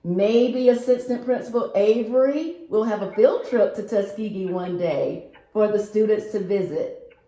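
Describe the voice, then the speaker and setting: A woman reading a picture book aloud to young children, expressive and addressing them directly. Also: medium pitch, a little slow. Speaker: guest picture-book reader for a school class. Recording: roomy, echoing virtual-reading audio.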